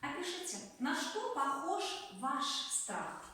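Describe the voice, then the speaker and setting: A woman's voice: high-pitched, big pitch swings, slow pace, sharp tone. A woman leading a group workshop as a teacher, giving instructions and repeating her questions to the participants. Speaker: teacher leading a master class. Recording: echoey room, distant microphone.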